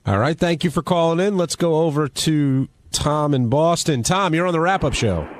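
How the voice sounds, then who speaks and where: A man's voice: on the high side, normal speed, no echo. A man casually hosting a radio call-in show.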